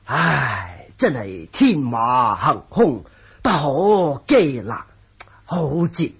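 An art-obsessed scholarly manor lord in an old Cantonese radio play, with a Hong Kong accent. He declaims slowly and huskily, savouring words with big swings of intonation. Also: medium pitch, sing-song, fairly bright tone, breathy edge, exaggerated voice. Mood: rapturous. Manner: theatrical, savouring recitation. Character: bookish, excitable calligraphy-obsessed manor lord. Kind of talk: 1980 Cantonese radio drama acting.

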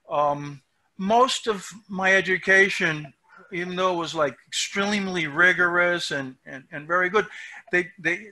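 Older man, bright reflective voice. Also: sits high. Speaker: film subject, interviewee. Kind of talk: casual video call discussion. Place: small room at home. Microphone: headset on a video call.

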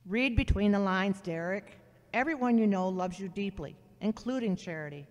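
An actor playing a caring but frank older sister who probes and admonishes, in an amateur audio drama. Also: female voice, mid-range voice, moderate pace, lo-fi sound, lightly acted. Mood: frank, admonishing. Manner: probing, admonishing. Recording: reverberant hall-like sound.

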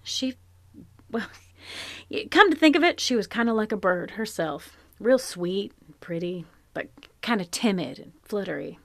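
An actress playing a plain-spoken, regretful middle-aged farm wife in a live audio drama, with earnest period rural speech. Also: female voice, mid-range voice, lively intonation, normal speed, a bit bright, no room sound. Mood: wistful. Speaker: Mrs Hale. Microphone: home microphone in a closet.

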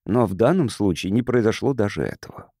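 A man reading a literary story as an audiobook narrator in a measured, expressive storytelling manner. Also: lowish voice, dry recording, studio quality.

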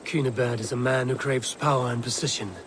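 A man plays an impatient medieval warrior in a video game. He is blunt and brooding, with a medium-pitched voice and faint ambient noise behind it. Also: steady tone, a little fast, close to an everyday voice. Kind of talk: dramatic video game acting.

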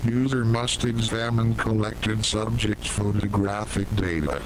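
Man as a slow, cold computer announcer. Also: fairly low pitch, fairly even intonation, background noise, lightly acted. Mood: cold, procedural. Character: cold, impersonal male computer system giving orders. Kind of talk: scripted horror game announcement.

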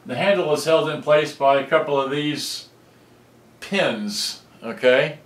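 A man casually walking through a cassette deck repair, rambling with halting restarts. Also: on the high side. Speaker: electronics repair hobbyist. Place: room, filming photos on a monitor. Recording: roomy, distant camera audio.

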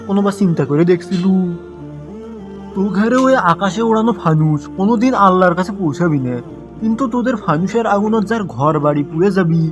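A man's voice: high-pitched, lightly acted. A man with a Bogura accent from northern Bangladesh playing a sarcastic, moralizing schoolteacher in a comic cartoon, full of mocking rhetorical questions. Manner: mock agreement, rhetorical questions, preachy. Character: sarcastic, moralizing male schoolteacher scolding his pupil. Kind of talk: comic cartoon dialogue turning into sermon.

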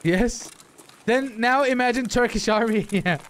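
A man's voice: very high voice, measured pace, background noise. A man, chatting casually with stream viewers in slangy, profane, repetitive bursts. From Denmark. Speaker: live game streamer. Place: indoor room, streaming setup. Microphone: close desk microphone, headset worn.

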